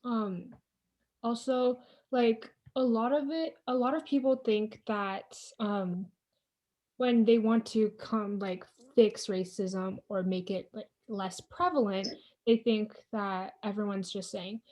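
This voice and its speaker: A woman's voice: medium pitch, steady tone, slow pace, darkish tone. A woman guest on a podcast, speaking hesitantly with many fillers. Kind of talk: casual discussion.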